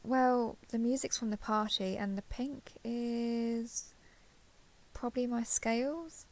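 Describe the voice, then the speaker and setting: A woman playing a caring, apologetic young dragon girl giant in an intimate roleplay audio drama, talking gently and hesitantly to the listener. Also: a little high, gravelly, rough recording.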